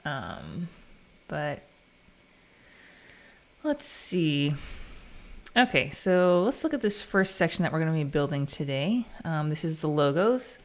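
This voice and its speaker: A woman's voice: sits low, very animated, slow. An American woman live streaming as she codes, chatting informally and thinking aloud in a casual way. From United States. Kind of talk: casual live stream chat while coding.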